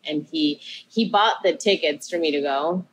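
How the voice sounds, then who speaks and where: A woman's voice: deep voice, a little slow, husky, rough recording. A woman from Peru, a chef and interview guest, telling her story in a rambling, self-correcting, upbeat way.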